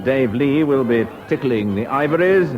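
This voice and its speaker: A man's voice: medium pitch, animated, normal speed. A British man reading a scripted introduction as a radio show announcer. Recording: dull old radio recording, loud music.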